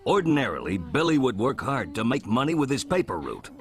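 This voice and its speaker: A man's voice: mid-range voice, big pitch swings, fairly quick. A man giving wry, mock-serious scripted narration in a clip from a film.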